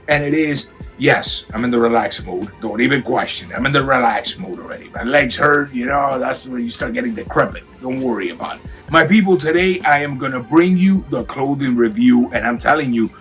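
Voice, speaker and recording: Raspy guy from miami with a cold, hyped unboxing chat at home, music faint in back. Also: medium pitch, lively intonation, brisk, a bit bright. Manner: hyped, slangy, talks straight to viewers. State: has a cold. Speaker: sneaker and clothing vlogger. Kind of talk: casual unboxing vlog. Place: home room.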